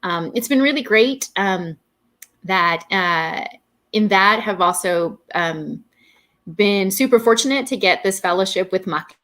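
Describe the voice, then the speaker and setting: Guest, woman around forty, mid-pitched, loose candid talk with a bit of swearing, video call audio. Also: measured pace. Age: 40s. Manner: relaxed and candid, with mild swearing. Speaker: guest poet. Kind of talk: casual conversation on a video call.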